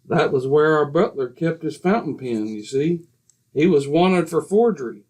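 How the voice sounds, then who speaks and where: Man playing smug, genteel, higher-voiced neighbor. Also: expressive, moderate pace, a little mellow. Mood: smugly polite. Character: genteel, smug society neighbor telling tall tales. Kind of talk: old-time radio comedy acting.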